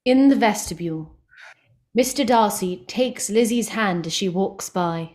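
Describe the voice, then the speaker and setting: A woman narrates a staged reading. Her pitch is average for a woman. She reads short stage directions in a scripted narrating style. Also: a little slow, a little mellow.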